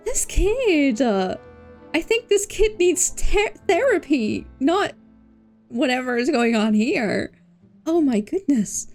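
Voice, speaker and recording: Slow-paced, playful woman livestreamer. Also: high voice. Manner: playful, expressive exclamations and silly filler words. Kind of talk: casual game livestream chat. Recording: game music behind the voice. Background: faint video game music.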